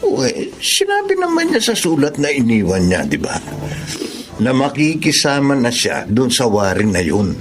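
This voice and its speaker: An actor playing a caring, troubled husband and father in a radio drama, gentle and earnest. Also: medium pitch, huge pitch swings, moderate pace, music in the background. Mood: pained.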